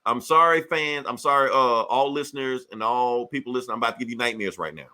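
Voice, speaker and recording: A man hosting a podcast, retelling comic book stories in casual, profane slang with animated delivery. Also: mid-range voice, fast. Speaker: podcast host.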